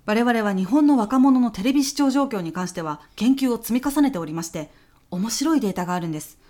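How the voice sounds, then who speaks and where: A woman's voice: fairly high pitch, lively intonation, fast talker, dark tone, close to an everyday voice. Polite, earnest businesswoman played by an actress. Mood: earnest, persuasive. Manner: deferential, polite business speech. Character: polite, earnest businesswoman pitching to a client executive. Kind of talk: comedy audio drama acting.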